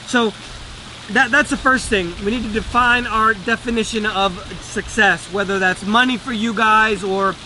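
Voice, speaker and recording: High-pitched man over loud background noise. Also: steady tone, normal speed, rough recording. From Venezuela. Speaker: day trader and trading teacher. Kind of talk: casual motivational talk to camera.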